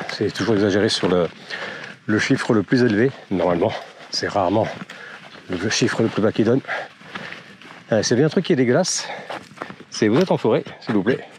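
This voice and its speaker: A man's voice: fairly low pitch, darkish tone, a little hiss or hum. A man with a slight cold, narrating a casual walking vlog on forest trails, chatty and rambling with many fillers and wry jokes. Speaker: vlogger walking with his dog.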